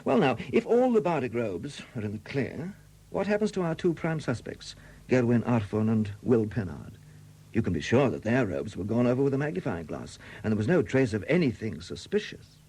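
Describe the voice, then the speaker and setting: An actor playing a shrewd, relentless police superintendent in a 1970s radio drama, questioning in a deliberate, courteous but insistent way. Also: male voice, medium pitch, very animated, mellow, slightly rough, poor audio. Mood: methodical, insistent. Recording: dated 1970s radio recording.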